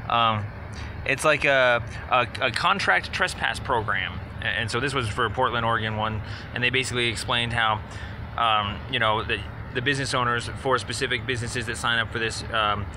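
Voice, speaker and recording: A man speaking as a caller making an informal inquiry, explaining at length in a halting, rambling, wordy way. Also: mid-range voice. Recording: noisy phone call with outdoor traffic.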